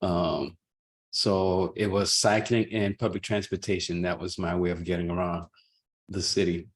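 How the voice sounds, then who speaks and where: A man's voice: deep voice, little pitch movement, a little slow. A middle-aged man from Haiti and Boston, casually telling a personal story as a webinar panelist. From born in Haiti, grew up in Boston. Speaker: urban cycling project leader.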